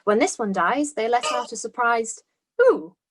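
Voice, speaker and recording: A woman's voice: a little high, expressive, measured pace, a little hiss or hum. A woman narrating the stage directions of a screenplay in a scripted read-through.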